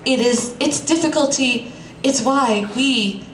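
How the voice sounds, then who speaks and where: A woman's voice: high-pitched, slow. A woman voicing a solemn, wise female spiritual teacher with a ponderous, mock-mystical delivery. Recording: distant echoey room mic, loud background noise.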